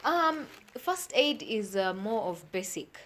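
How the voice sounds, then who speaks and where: A woman's voice: medium pitch, big pitch swings, slow, fairly bright tone, slight background noise. A woman speaking as an expert interview guest, explaining things plainly step by step and checking that she is understood.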